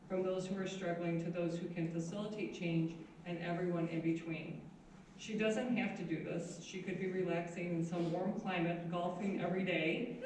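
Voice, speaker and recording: Lady reading her intro notes, a bit nervous, super echoey room, far away. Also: fairly low pitch. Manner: earnest, warm, slightly nervous. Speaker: event organizer introducing the speaker. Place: meeting room. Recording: very echoey hall, distant mic, faint noise.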